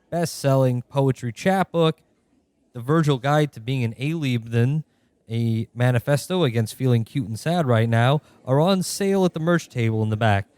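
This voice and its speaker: A man playing a smug, self-serious poet with a precious, deliberate delivery in a comic radio play. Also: mid-range voice, darkish tone, no room sound, close to an everyday voice.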